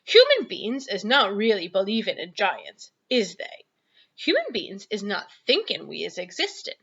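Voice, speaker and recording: A woman voicing a big, friendly, excitable giant from a children's storybook, earnest and gentle, with emphatic, drawn-out words and folksy muddled grammar. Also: medium pitch, vocal fry, slightly rough, highs cut off, heavily acted. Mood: earnest explaining. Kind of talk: children's storybook read-aloud.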